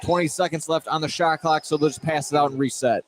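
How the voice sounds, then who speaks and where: A man calling live high school basketball play-by-play as a radio sports announcer. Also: steady tone, fast talker. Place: indoor basketball gym, courtside. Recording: noisy live gym ambience. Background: loud game ambience.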